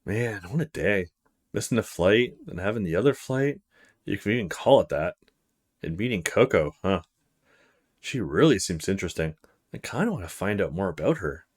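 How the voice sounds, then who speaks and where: A man's voice: low-pitched, expressive, moderate pace, husky. A man voicing a polite young male student in a visual novel, thinking to himself in casual short fragments. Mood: pensive. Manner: casual inner monologue with short musing fragments.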